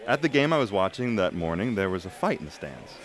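A man's voice: low-pitched, sing-song, fast talker. A man playing a blunt, chatty soccer supporter in a casual audio drama. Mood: indignant storytelling. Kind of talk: casual, naturalistic drama dialogue. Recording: busy crowd and traffic noise.

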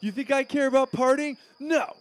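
A man's voice: very high voice, normal speed. A man playing a pampered, bratty male student in a comedy series, petulant and full of bluster. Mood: indignant.

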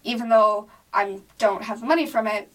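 A woman's voice: mid-range voice, normal speed, a bit bright, recorded from a distance, rough recording. Chatty young woman, off-mic. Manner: chatty, upbeat, lots of "like". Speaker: guest. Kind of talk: casual group chat.

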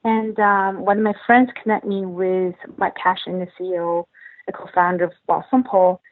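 Woman from northeast China, podcast guest, low voice, halting and full of fillers, tinny phone-line sound, dry. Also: little pitch movement, no room sound. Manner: hesitant, many fillers and restarts. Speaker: guest, company finance chief. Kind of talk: podcast interview, casual chat.

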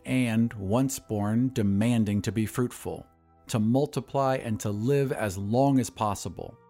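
A man narrates as the host of an audio story show. His delivery is scripted and dramatic, with a medium-pitched voice that moves expressively. Music plays underneath. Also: a little mellow.

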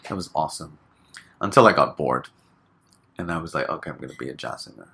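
A man from Hong Kong talks as a guest in a loose, easygoing interview, dropping fillers and laughing between thoughts. His voice sits very low, with a husky grain and a bright, forward edge. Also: fast. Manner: relaxed, chatty, laughs often, many fillers. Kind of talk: casual interview chat.

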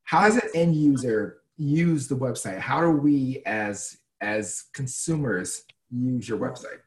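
A man hosting a podcast, asking questions and thinking aloud in a relaxed way with some fillers. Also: medium pitch, slow. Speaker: podcast host. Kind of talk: casual podcast interview.

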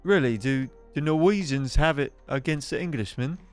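A man's voice: a little high, moderate pace, faint music behind, pitched above the performer's own voice, natural voice. A man improvising an agreeable, puzzled medieval townsman, speaking in an earnest, politely inquisitive way. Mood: earnest, curious.

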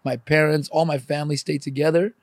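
A man's voice: on the high side, little pitch movement, brisk, no echo. A middle-aged man in casual, confessional conversation, speaking candidly and emphatically, swearing and peppering his talk with "man" and "dude.". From born in Ukraine, emigrated at age four.

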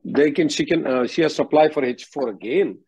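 A husky-voiced man giving direct, instructive legal advice as the attorney hosting a question-and-answer call. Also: fairly high pitch, a little fast, a crowd in the background. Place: conference call, close dry sound. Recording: dull, muffled conference-call audio.

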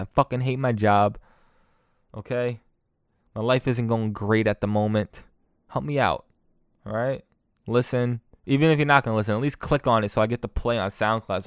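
A young man rants alone into his podcast mic, cursing, mocking, and tripping over his own restarts. His voice sounds smooth and clear, and the recording is close and dry, with no room sound. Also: lowish voice, no echo. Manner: profane, mocking, rambling with many restarts. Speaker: podcast host. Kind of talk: solo comedic rant.

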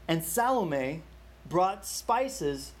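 A man's voice: fairly high pitch, big pitch swings, drawn-out words. A young man leading a Bible study, telling a personal story in a casual, chatty way with many fillers and restarts.

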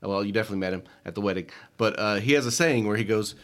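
A man's voice: on the low side, rapid-fire. A man co-hosting a podcast, joking and self-deprecating, slightly nasal from a cold. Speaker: podcast co-host. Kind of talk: casual podcast chat.

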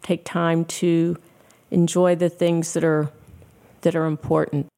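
A woman from Pennsylvania speaks as a podcast guest in a low, mellow voice. She offers her advice gently and from the heart. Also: flat delivery, slow pace.

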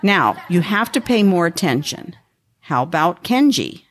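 A woman's voice: fairly low pitch, sing-song, a little slow, slight background noise. A woman playing a strict, attentive math teacher in an amateur school radio play. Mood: brisk, strict.

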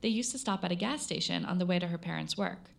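A woman reporter reading scripted narration for an audio story in a measured, storytelling way. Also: on the low side, creaky. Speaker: radio reporter.

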